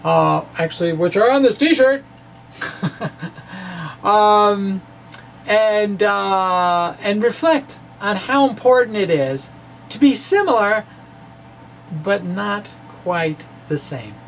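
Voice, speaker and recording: A man giving an informal, halting, wry reading of his physics blog, often pausing and amused at his own asides. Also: sits high, expressive, creaky, a bit bright. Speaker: amateur physics blogger. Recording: noisy room recording.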